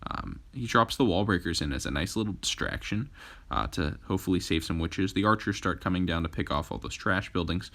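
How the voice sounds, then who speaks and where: Young male gaming host, low voice with a bright edge, slightly hoarse from a cold, laid-back play-by-play full of ums. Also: a little fast. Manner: relaxed, frequent "uh" and "um" fillers. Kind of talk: casual gameplay commentary.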